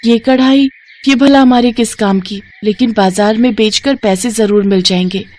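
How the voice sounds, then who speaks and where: Woman acting caring big sister, higher earnest voice. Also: moderate pace, darkish tone, lightly acted. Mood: tender. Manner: pleading, earnest, protective. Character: poor, caring big sister scrap-picker girl. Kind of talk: moral story character acting.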